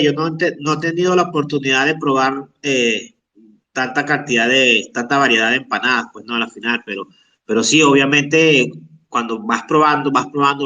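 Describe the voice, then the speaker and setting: A man hosting a casual podcast, groping for words with many fillers and restarts. His voice is bright and sharp. He sounds set back from the microphone. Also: medium pitch, far from the mic. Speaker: podcast host.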